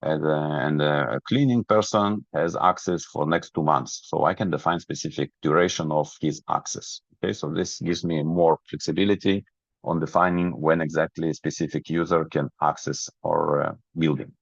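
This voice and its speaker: A man who is a non-native English speaker presents a software webinar, explaining each step methodically. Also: very deep voice, steady tone, normal speed. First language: not English. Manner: methodical, step-by-step, checks understanding. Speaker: webinar presenter, product trainer. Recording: roomy, dull lo-fi webinar audio.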